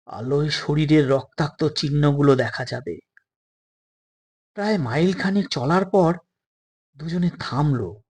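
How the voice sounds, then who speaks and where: A man narrating a suspense story in a dramatic, deliberate way, breaking sentences into short phrases with pauses. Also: a little high.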